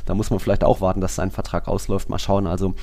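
A man's voice: deep voice, flat delivery, fast, mellow. A man co-hosting a football podcast, analysing informally and thinking aloud in casual talk.

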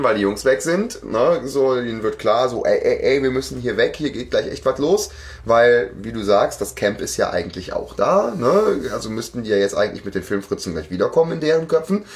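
A man's voice: medium pitch, animated, fairly quick. A man co-hosting a fan podcast in casual, jokey chat, full of slang, exclamations and quoted lines. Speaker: podcast co-host.

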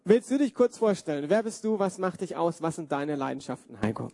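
An upbeat, encouraging man interviews guests at a church gathering. His voice sits high and sounds mellow. The recording is dry and close. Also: very animated, a little fast, gravelly, no echo. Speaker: host.

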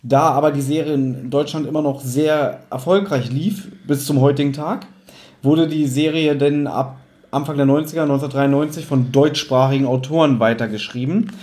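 A man's voice: medium pitch, fairly even intonation, normal speed, a little mellow, echoey room. A man with a German accent hosting a casual podcast, explaining background information in an informative way.

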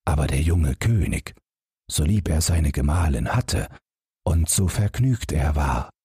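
Deep, husky male storyteller. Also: measured pace, dry recording, studio quality. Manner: expressive storyteller. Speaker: audiobook narrator and podcast host. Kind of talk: fairy-tale narration, scripted.

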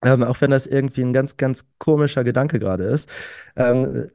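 A man in his early thirties speaks as a podcast guest, earnest and enthusiastic, often stretching his sentences with fillers and repeating words for emphasis. His voice sits at a middle pitch. The sound is dry and close to the mic. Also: moderate pace, creaky, dark tone, no room sound. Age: 30s. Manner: earnest, enthusiastic, frequent "ähm" fillers and repetitions. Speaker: podcast guest, climate activist. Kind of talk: casual conversational interview.

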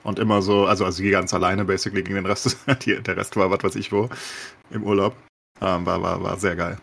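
A man's voice: low voice, little pitch movement, quick pace, gravelly, a bit bright, husky. A man chatting casually as a podcast panelist about games, in colloquial speech sprinkled with English gaming slang.

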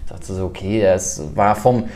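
A man's voice: sits low, steady tone, moderate pace, a little mellow. A man hosting a podcast, asking long conversational questions and sharing his own experiences with fillers. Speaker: podcast host. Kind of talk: interview questions, casual chat.

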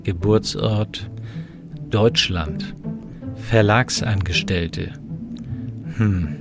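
A man's voice: on the low side, very slow, vocal fry, full character voice. A man playing a dry, matter-of-fact, level-headed professor in a German sci-fi audio drama. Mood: focused, dry. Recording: music under the dialogue.

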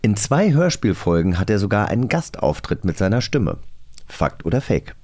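A man's voice: fairly low pitch, very animated, moderate pace, darkish tone. A man hosting a podcast in casual, teasing, bantering chat. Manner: teasing, joking. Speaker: podcast host.

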